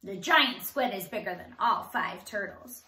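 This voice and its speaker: A woman in her thirties doing an animated read-aloud for young children, enunciating with exaggeration and asking them questions as a teacher would. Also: medium pitch, a little slow, roomy sound, a little hiss or hum. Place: small indoor room.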